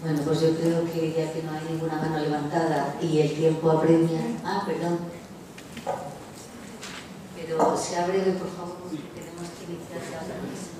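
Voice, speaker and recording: A woman's voice: low voice, flat delivery, normal speed. A woman moderates an academic panel live, managing questions from the floor. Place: large echoey indoor conference room. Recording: very echoey room, distant mic, noisy.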